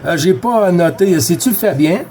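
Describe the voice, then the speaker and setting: Quebec guy w/ french canadian accent, slightly high voice, casual radio chat, repeats phrases. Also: lively intonation, unhurried, a little mellow. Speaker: CB radio operator.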